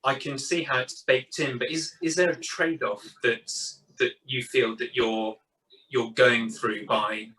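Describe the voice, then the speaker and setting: A middle-aged man hosts a webinar interview over a video call from a book-lined study. His voice is bright and crisp, and it sounds slightly distant from the microphone. He hesitates often, with ums and repeated words. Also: medium pitch, fairly even intonation, measured pace. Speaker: interviewer, board chair. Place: home study with bookshelves. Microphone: webcam on video call.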